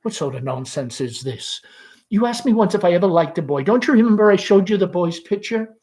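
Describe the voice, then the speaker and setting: Guy doing a fussy bossy southern mom from a play, voice pushed up high, gushy and dramatic. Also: expressive, fairly quick, darkish tone. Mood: scolding, exasperated. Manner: effusive, repetitive, imperious. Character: Amanda, fussy domineering middle-aged Southern mother, gushing and nostalgic.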